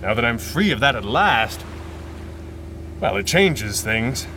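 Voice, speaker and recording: Masked adventurer husband in old radio serial, calm dry delivery, mid pitch, noisy behind. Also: male voice, heavily acted. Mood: reflective, earnest. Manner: measured, articulate, dryly understated. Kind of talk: 1930s pulp radio-style drama acting.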